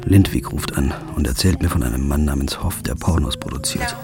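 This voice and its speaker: Deep gravelly guy, weary hard-boiled narration, music under it. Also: a little fast. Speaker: narrator and protagonist. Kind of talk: first-person crime drama narration.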